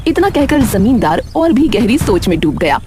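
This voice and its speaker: A woman narrating a scripted animated story as a storyteller. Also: on the high side, moderate pace, noisy background.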